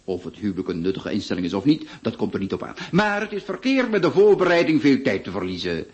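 A man's voice: on the low side, wildly swooping pitch, quick pace. A man playing a jovial, overbearing middle-aged marquis in a radio drama, with a habit of repeating words for emphasis. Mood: persuasive. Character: jovial, domineering marquis pushing his daughter's marriage.

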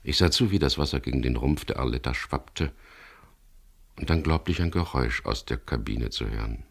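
An actor playing a wry, self-assured boat skipper who narrates a crime story in a 1970s German radio drama. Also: male voice, very deep voice, normal speed, slightly rough, exaggerated voice. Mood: reflective narration. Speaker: lead actor and first-person narrator. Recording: noisy old radio drama recording.

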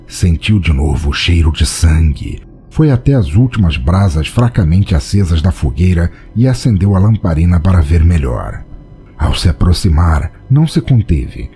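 A man's voice: low voice, big pitch swings, moderate pace, dark tone, faint music behind. A man with a Brazilian accent narrating a dramatic scripted audio story.

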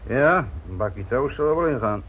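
An actor playing a weary, frustrated police inspector in a radio play, gruff and curt, muttering the odd curse. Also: male voice, mid-range voice, animated, moderate pace, phone-quality sound, natural voice. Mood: dry. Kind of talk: Dutch radio play acting. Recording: noisy old radio drama recording.

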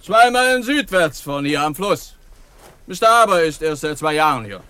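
Folksy cowboy, quite high voice, dry sound, chatty and brisk, western radio drama. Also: male voice, very animated, pushed and bright, smooth, no room sound. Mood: friendly, impressed. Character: wary but friendly, chatty young cowboy.

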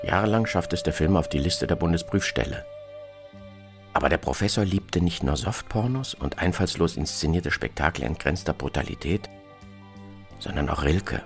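A man's voice: deep voice, expressive, moderate pace. A man presenting in a scripted documentary narration style, with a dry, sardonic manner. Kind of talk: scripted documentary narration about radio plays. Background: music.

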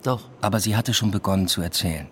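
An actor playing an evasive university lecturer in a radio drama, narrating in a dry, matter-of-fact, introspective way. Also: male voice, lowish voice, fast talker, a bit bright, a little hiss or hum, close to an everyday voice. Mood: evasive, terse. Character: male university lecturer, evasive lover leading a double life. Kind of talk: literary radio drama first-person narration.

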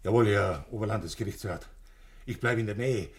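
A man's voice: lowish voice, brisk, slight background noise, natural voice. An actor playing a deferential male prison guard in a German radio play, speaking in an obedient, official manner. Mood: dutiful, watchful.

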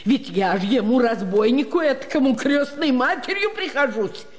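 An actress playing an imperious, plaintive old gentlewoman in a radio play, sighing, grumbling and repeating words in a folksy way. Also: sits high, animated, normal speed. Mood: wounded, indignant. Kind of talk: theatrical radio play of a classic short story.

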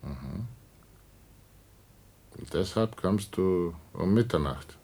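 A skeptical older uncle in a science fiction radio drama. His extremely deep, gravelly voice moves slowly, with dry, indulgent irony. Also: expressive, darkish tone, exaggerated voice. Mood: concerned, wry. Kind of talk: German science fiction radio play acting.

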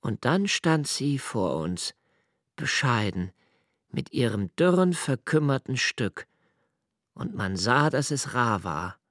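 An actor playing a brooding, solitary diarist in a radio play, with a measured, introspective delivery and an anguished, searching temper. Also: male voice, medium pitch, sing-song, fairly bright tone, dry recording, studio quality. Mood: self-questioning. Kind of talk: literary monologue in a radio play.

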